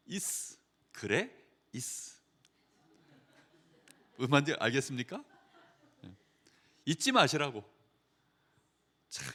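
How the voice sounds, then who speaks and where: A middle-aged man preaching a sermon in a conversational way, asking the congregation questions and telling anecdotes, moving from loud emphasis to quiet trailing phrases. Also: fairly high pitch. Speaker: pastor.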